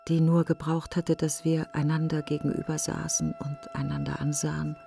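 An actress playing a grieving woman in a literary radio monologue, speaking in hushed, halting fragments. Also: low-pitched, monotone, vocal fry, soft background music. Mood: mournful. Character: grieving woman brooding on her dead best friend.

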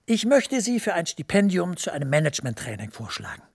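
A gravelly, persuasive EU director. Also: male voice, high voice, very animated, heavily acted. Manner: smooth, measured, persuasive. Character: smooth senior EU director, middle-aged man, persuasive. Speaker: EU official.

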